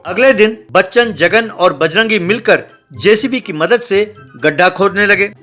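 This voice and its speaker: Male narrator for scripted comedy stories: very high, sharp tone, light music underneath. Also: measured pace. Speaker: story narrator.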